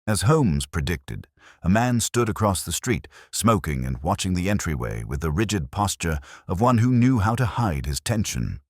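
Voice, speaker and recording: A man reading a scripted first-person mystery story in a measured, literary and dramatic way. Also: sits low, big pitch swings, dry recording, studio quality. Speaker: audiobook narrator.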